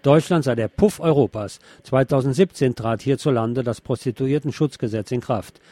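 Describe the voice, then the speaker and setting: A man's voice: mid-range voice, normal speed, dark tone, dry recording. A man reading scripted news in as a narrator. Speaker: news reader.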